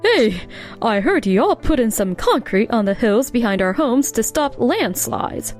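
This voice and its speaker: A worried female tenant, acted by a woman in a manga dub, with a highish, very expressive voice. She complains like someone scared and fed up, with music underneath. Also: unhurried. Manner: worried, complaining, folksy wording. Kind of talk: scripted manga dub acting.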